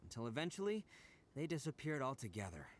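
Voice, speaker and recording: A man's voice: mid-range voice, sing-song, quick pace, close to an everyday voice. A man voicing a proud, earnest young oni gang leader in a video game, telling his story with dramatic emphasis. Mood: sincere, resolute.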